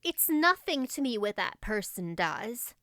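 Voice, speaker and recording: A woman's voice: medium pitch, sing-song, unhurried, a bit bright, no room sound, clean studio sound. A woman voicing a dreamy, dramatic thirteen-year-old girl in an audiobook, gushing and romantic. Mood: lofty. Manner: breathless, gushing, lofty at times. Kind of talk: audiobook character voice.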